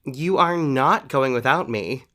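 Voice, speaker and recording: Sulky, melodramatic fired playwright. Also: male voice, mid-range voice, animated, a little slow, sharp tone. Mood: sulky, petulant. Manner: petulant, melodramatic. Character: sulky, dramatic fired superhero playwright, male. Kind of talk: audio drama dialogue.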